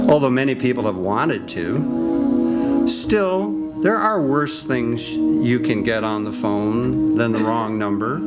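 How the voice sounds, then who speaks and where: A man narrating an old-time radio mystery with wry, measured storytelling. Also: medium pitch. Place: senior center stage.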